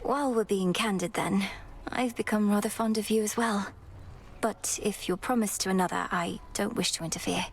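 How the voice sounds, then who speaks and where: An actress playing a poised young goddess princess in a video game, formal and composed, earnest and guardedly fond. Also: female voice, mid-range voice, moderate pace, creaky, husky. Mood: candid, tender. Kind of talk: scripted video game romance dialogue.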